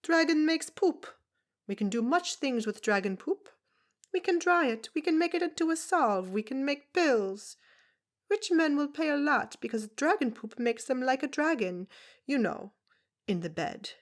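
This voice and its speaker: A woman's voice: high voice, expressive, measured pace, pitched above the performer's own voice. A woman voicing a tiny, brusque foreign herbalist woman who speaks in clipped broken English with a foreign accent. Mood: gruff, pushy. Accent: foreign, unplaced.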